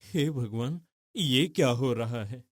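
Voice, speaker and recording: A man voicing an earnest, emotional young man from a poor family in a cartoon story, with a husky voice. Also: medium pitch, takes it slowly. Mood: distraught. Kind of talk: dramatic cartoon story dialogue.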